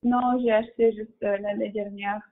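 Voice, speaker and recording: A woman's voice: mid-range voice, little pitch movement, normal speed, phone-quality sound. A woman in her thirties with a Russian accent answers interview questions in French as a second language, often searching for words. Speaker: interview guest. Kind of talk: casual interview answers about career and work.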